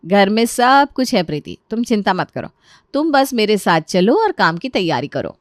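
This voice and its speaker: A woman voicing a dutiful, traditional elder sister-in-law who explains gently and reassuringly in a family story. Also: mid-range voice, very animated, normal speed, no room sound, clean studio sound, close to an everyday voice. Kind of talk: acted Hindi family story dialogue.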